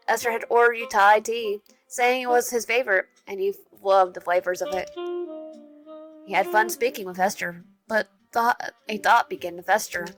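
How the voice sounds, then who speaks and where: A woman casually reading a dating-sim visual novel aloud, with frequent slips and restarts. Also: mid-range voice. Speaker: gaming channel host.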